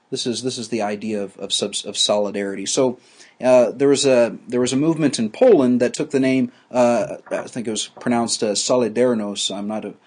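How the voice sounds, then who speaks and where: A man teaching a class, explaining ideas slowly with frequent restarts and fillers. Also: medium pitch, a little mellow. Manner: halting, many restarts and fillers.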